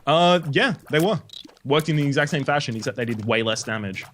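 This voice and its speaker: A man's voice: mid-range voice, lively intonation, fairly quick, fairly bright tone, a little hiss or hum. A young Australian man, host of a gaming video, explaining item mechanics in casual chat and swearing now and then. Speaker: let's play host.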